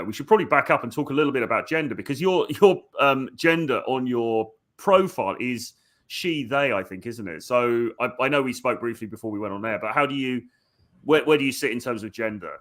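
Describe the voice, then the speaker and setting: A man's voice: medium pitch, lively intonation, fairly bright tone. Man hosting a dating interview. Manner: measured questions with many "yeah"s. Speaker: host, dating coach. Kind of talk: podcast interview.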